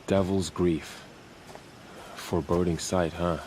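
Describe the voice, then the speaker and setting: Deep-voiced loyal young warrior. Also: male voice, steady tone, slow pace, a little mellow, a little hiss or hum. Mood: earnest. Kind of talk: video game dramatic dialogue.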